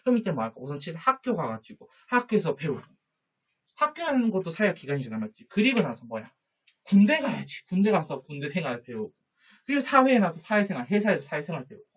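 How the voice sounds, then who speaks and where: A man teaching a high school social studies lesson, chatty, with jokey asides and quick questions to his students. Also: big pitch swings, a bit bright, slightly rough. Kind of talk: online lecture to students. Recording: dull lo-fi recording.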